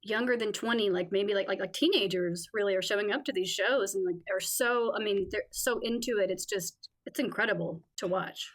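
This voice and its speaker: A woman's voice: mid-range voice, brisk, hardly any pauses. A woman co-hosting a podcast in casual film discussion, informal and emphatic, peppering her sentences with "like". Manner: informal, emphatic, heavy use of "like". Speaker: podcast co-host.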